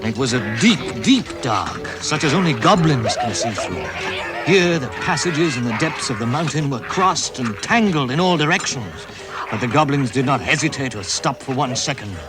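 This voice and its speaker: A man's voice: mid-range voice, lively intonation, moderate pace, over loud music, lightly acted. A man narrating a vintage radio dramatisation in a dramatic storybook style.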